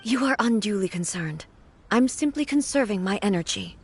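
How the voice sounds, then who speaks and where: A woman voicing a composed, dignified female warrior ruler in a video game, speaking in a measured and formal way. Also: medium pitch, expressive, slight background noise, close to an everyday voice.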